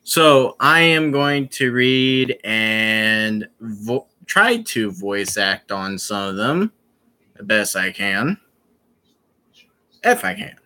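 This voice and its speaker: A young man chatting casually to his viewers on a live stream, rambling and halting, with many fillers and restarts. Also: lowish voice, lively intonation, pushed and bright.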